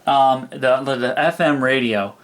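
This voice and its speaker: A man's voice: mid-range voice, measured pace. Casual, enthusiastic young man reviewing gear. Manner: enthusiastic, informal, repeats words for emphasis. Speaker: product reviewer. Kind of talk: casual hands-on product review. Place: indoors, small room.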